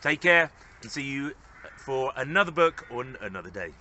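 A man in his thirties narrating a children's story expressively, with measured, gently dramatic phrasing. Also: medium pitch, expressive, slight background noise. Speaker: storybook reader.

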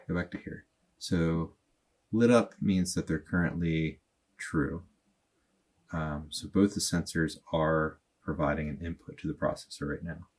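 A man in his thirties speaks in a low, slightly husky voice as he talks through a computer tutorial. He thinks aloud in plain, unhurried speech, with the odd filler. He is recorded at a desk in a small room. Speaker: automation tutorial host. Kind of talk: casual screen-recorded tutorial.

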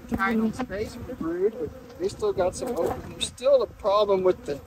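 A man, talking his way through a hive inspection in a relaxed, halting way. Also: high-pitched. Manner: relaxed, halting, many restarts and fillers. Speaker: beekeeper. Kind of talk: casual hive inspection vlog. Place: outdoor bee yard, open field. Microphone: camera mic on the beekeeper. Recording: outdoor recording with loud bee buzzing. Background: buzzing bees and wind.

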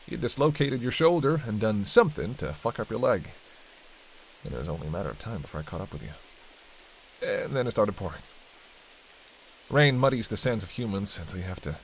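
A man's voice: on the low side, poor audio. A man playing a hungry, cranky vampire in a roleplay audio drama, bantering sardonically and intimately with a listener cast as a hunter. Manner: sardonic, teasing, dry banter. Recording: steady rain and hiss behind.

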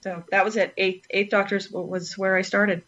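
A woman's voice: mid-range voice, level tone, moderate pace, a bit bright. Rambling woman on a dull lo-fi recording. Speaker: podcast panelist. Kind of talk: casual chat.

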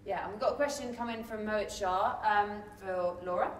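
A woman's voice: mid-range voice, a little fast. A woman moderating a sports press conference, asking casual interview questions. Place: indoor press conference room. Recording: echoey room, distant mic, faint noise.

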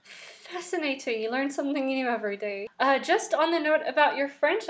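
A young adult woman hosting a casual interview over a video call, enthusiastic and quick to react. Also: on the high side, a bit bright. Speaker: host. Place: home room, indoors.

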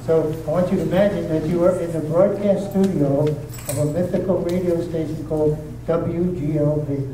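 Guy warming up a live crowd, slightly high voice, super echoey room, far mic, noisy. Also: steady tone, normal speed. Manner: chatty, checks in with frequent "okay?". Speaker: host introducing a readers theater show. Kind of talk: casual talk to a live audience. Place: community hall stage with a live audience.

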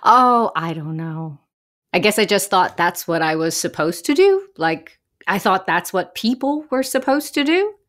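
An actress playing a sincere, sentimental daughter-in-law in a comedy audio drama, speaking earnestly and haltingly. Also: female voice, mid-range voice, big pitch swings, a bit bright. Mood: earnest, defensive. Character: sincere, sentimental woman eager to belong with in-laws.

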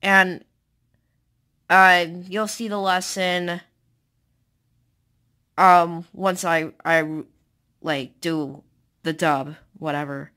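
A woman's voice: on the low side, steady tone, very slow, pauses often, sharp tone. A young woman chatting casually and rambling with lots of fillers, sounding tired, recorded on a phone. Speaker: amateur comic dubber. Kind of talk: casual chat.

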